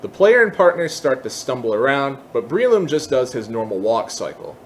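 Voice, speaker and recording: A man hosting a software tutorial in a casual, informal explaining style, with hesitations and trailing asides. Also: mid-range voice, big pitch swings, moderate pace, a little hiss or hum. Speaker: tutorial host.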